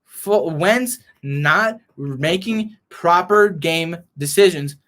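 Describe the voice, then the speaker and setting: A young man ranting as a frustrated sports fan vlogger, hoarse and losing his voice, reading and answering chat. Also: a little high, expressive, very slow, fairly bright tone. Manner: frustrated, heated rant.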